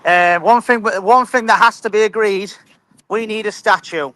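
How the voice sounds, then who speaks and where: A man's voice: sits high, moderate pace, fairly bright tone, no echo. A man calling in to a fan show, emphatic, often stumbling and repeating words. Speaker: caller. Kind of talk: casual fan chat.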